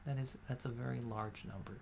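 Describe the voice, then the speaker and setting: A man rambling in a soft-spoken ASMR style, filling his talk with pauses and fillers. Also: medium pitch, fairly even intonation, creaky, slight background noise. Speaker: ASMR creator.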